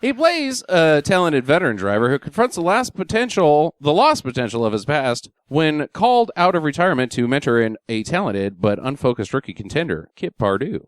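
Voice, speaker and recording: A man's voice: on the high side, big pitch swings, fairly quick, hardly any pauses, smooth, dry recording. A man hosting a podcast in casual chat, swearing freely and reading listener reviews aloud. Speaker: podcast host.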